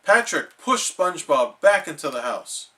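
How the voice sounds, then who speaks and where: A man narrating a children's bedtime story as an expressive reader. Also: fairly high pitch, normal speed, distant mic.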